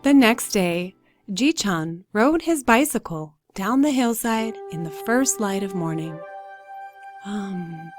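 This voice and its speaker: Female storybook narrator for young pupils, animated intonation, unhurried pace, faint music underneath. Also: mid-range voice. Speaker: read-aloud teacher. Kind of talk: expressive storybook narration for children.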